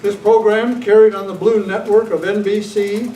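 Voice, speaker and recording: A man's voice: high-pitched, little pitch movement, moderate pace. A man reading scripted credits as an old-time radio announcer at a club meeting. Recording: echoey distant room, loud noise and crowd. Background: audience noise.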